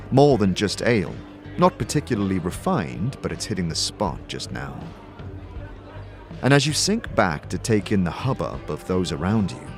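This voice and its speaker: A man with a fairly low voice narrates a scripted fantasy audio drama. His storytelling is measured and dramatic, with lively rises and falls. Faint music sits behind him. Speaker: narrator and game master.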